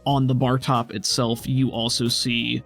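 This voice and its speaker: Male game master, mid-pitched, descriptive and conversational, faint music underneath. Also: flat delivery, unhurried, vocal fry, fairly bright tone. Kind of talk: narrating a tabletop role-playing game, conversational.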